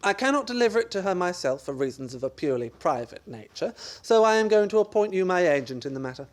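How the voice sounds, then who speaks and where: A man's voice: high-pitched, sing-song, fast. A man acting an exasperated, scheming husband in a radio play farce, with a blustering, theatrical delivery. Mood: confident, scheming.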